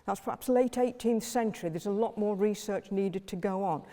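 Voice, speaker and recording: A woman historian giving a public lecture in a measured, list-like way with occasional fillers. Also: mid-range voice, warm tone, in a large hall.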